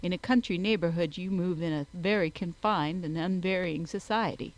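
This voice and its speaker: A woman voicing a proud, reserved young gentleman with a formal manner in a novel audiobook. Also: on the low side, normal speed, lightly acted, rough recording. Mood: aloof.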